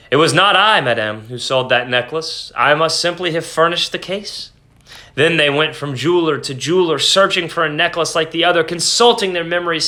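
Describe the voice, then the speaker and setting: A man giving a dramatic reading of a classic short story in an expressive, deliberate manner. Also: fairly high pitch. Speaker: reader.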